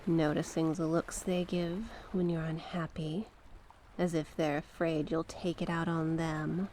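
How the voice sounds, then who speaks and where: A woman playing a mysterious banished sea witch in an ASMR roleplay, coaxing and knowing, with a teasing, deliberate manner. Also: on the low side, warm tone, a little hiss or hum, close to an everyday voice. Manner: slow, teasing, deliberate, coaxing with pet names. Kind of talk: ASMR character roleplay monologue.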